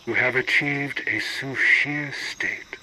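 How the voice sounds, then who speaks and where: Solemn alien spiritual guide, noisy backdrop. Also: male voice, mid-range voice, bright, forceful tone. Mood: solemn, serene. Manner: measured, ceremonial, instructive. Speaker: voice actor in a video game. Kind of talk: scripted game dialogue.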